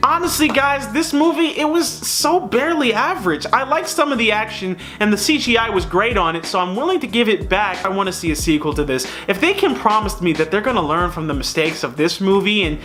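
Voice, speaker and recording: A man's voice: sits high, expressive, a little fast, few pauses, bright, forceful tone, soft background music. A man hosting a casual, opinionated review, talking straight to viewers in a conversational, emphatic way. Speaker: film reviewer and host.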